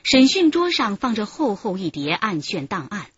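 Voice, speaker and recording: A woman's voice: medium pitch, very animated, normal speed. A woman giving dramatic scripted narration for a radio crime serial. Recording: slightly dull, music underneath. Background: music.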